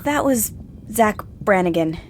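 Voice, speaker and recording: A woman's voice: mid-range voice, expressive, unhurried, slight background noise, natural voice. A woman playing a flustered, bossy young sitcom actress in a comedic audio drama, awkward and emphatic.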